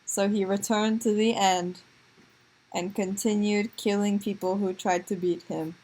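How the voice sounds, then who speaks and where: A woman improvising comic stories for a puppet show in a giggly, halting way. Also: medium pitch, fairly even intonation, darkish tone, low-quality recording. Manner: giggly, halting, making it up as she goes.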